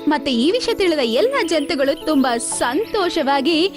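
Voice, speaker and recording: A woman's voice: high voice, sing-song, measured pace. A woman narrating a scripted cartoon story for children. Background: music.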